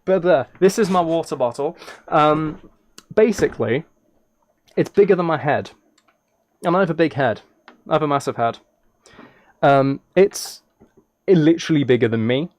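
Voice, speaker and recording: Higher-voiced man, playful livestream chat. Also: lively intonation, moderate pace, long pauses, darkish tone. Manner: playful, teasing, rambling asides to viewers. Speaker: livestreamer and host. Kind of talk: casual livestream chat while playing a video game. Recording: clear noise with key clicks and typing. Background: keyboard typing and clicks.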